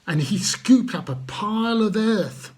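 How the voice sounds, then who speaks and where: A man telling stories to children and addressing them gently, with simple, unhurried phrasing and guiding questions. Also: sits high, lively intonation. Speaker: children's Bible storyteller.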